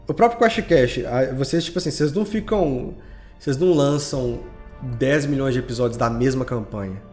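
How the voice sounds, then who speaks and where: A man's voice: mid-range voice, moderate pace, darkish tone. A man with a Brazilian accent speaking casually and informally, with plenty of slang, as a podcast guest. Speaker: podcast creator being interviewed. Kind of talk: casual chat. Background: music.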